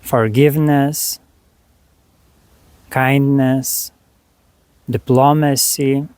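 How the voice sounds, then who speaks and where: A man leading a guided meditation, speaking to the listener in short, unhurried phrases with long pauses between them. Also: mid-range voice, steady tone, darkish tone, clear, resonant tone, no room sound.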